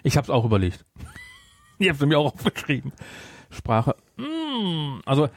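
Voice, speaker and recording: A man's voice: on the high side, huge pitch swings, unhurried, dry recording. Man chatting playfully, close dry sound. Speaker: podcast co-host. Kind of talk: casual chat, recapping an audio drama.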